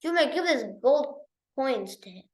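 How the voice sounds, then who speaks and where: A woman's voice: high voice, animated, unhurried, a little mellow, roomy sound. A woman voicing a stern, shrewd older king in a storybook, imperious and questioning. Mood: commanding.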